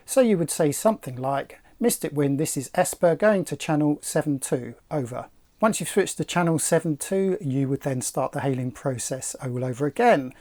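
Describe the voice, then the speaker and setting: A man's voice: a little high, warm tone. Articulate man giving instruction. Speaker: sailing channel presenter. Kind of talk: instructional explanation to camera.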